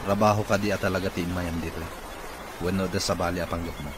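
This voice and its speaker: Deep-voiced, curt actor as an irritable boss. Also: male voice, level tone, fast talker, lightly acted. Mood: suspicious, curt. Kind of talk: radio drama acting. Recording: clearly noisy background.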